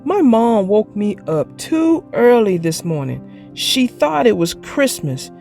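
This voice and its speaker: A woman's voice: on the high side, creaky, darkish tone, voice pushed up, exaggerated voice. A woman voicing a sleepy, weary young schoolboy in a children's storybook, talking in a tired, matter-of-fact way.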